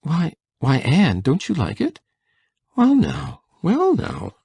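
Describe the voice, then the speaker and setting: A woman playing a shy, gentle older countryman in an audiobook drama, hesitant and bashful. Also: deep voice, huge pitch swings, slow, vocal fry, slightly rough, no room sound, studio quality, exaggerated voice. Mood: shy, anxious. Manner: hesitant, bashful, repeating words.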